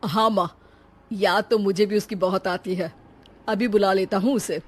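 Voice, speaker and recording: A grown son in a storybook family tale, put on by a woman narrator, speaks in a coaxing, contrite way. The voice sits at an ordinary female pitch and sounds apologetic toward an elderly parent. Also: steady tone, moderate pace, lightly acted. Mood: agreeable.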